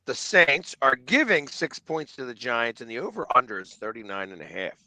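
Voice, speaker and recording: A man's voice: mid-range voice, big pitch swings, moderate pace, sharp tone, no echo, poor audio. A man talking casually about sports as a panelist on a show.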